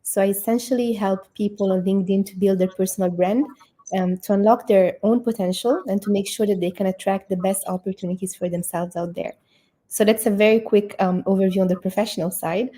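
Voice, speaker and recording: Woman in her twenties raised in Italy, relaxed warm voice, upbeat interview guest. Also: mid-range voice, fairly quick. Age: 20s. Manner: fluent, upbeat, laughs between thoughts. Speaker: guest, sales manager and personal branding founder. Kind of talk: casual online interview.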